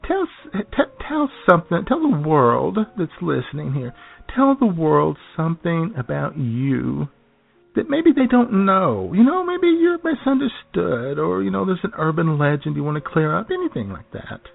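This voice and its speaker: A flirty, gushing celebrity interviewer acted by a man for radio comedy. The pitch leaps all over, with some vocal fry, over music in a muffled recording. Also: sing-song, normal speed, full character voice. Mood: gushing, coaxing. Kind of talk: comic radio interview sketch. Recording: dull lo-fi radio audio with music behind.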